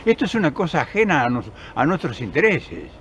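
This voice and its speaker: Older argentine guy ranting to the camera outside, pitch jumps all over, faint outdoor noise. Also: sing-song, fairly quick, a bit bright. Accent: Argentine Spanish. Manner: earnest, emphatic, many restarts and repeated words, gesturing with hands. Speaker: political commentator. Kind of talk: impassioned direct-to-camera commentary. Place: outdoors in countryside by forest path. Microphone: camera at close range.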